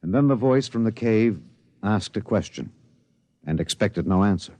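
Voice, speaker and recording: An American man playing an earnest radio newsman, reporting gravely and formally in a 1950s radio drama. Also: fairly low pitch, expressive, normal speed, warm tone, natural voice. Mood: somber. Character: earnest network radio newsman narrating a space rescue. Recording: faintly noisy 1950s radio recording.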